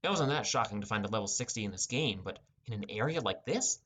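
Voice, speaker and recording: A man's voice: on the low side, lively intonation, quick pace, fairly bright tone. A man narrating a scripted first-person fantasy adventure as an audiobook.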